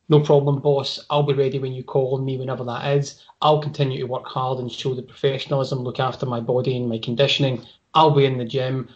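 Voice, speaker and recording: A man's voice: mid-range voice, muffled recording. A man, a guest on a football podcast, talking at length in careful analysis and repeating words as he thinks. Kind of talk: casual football podcast chat.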